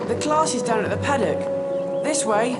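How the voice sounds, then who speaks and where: A man's voice: very high-pitched, expressive, moderate pace. A young male actor playing a timid, helpful, awkward schoolboy wizard in a video game. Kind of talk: video game character acting. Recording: loud music behind the voice.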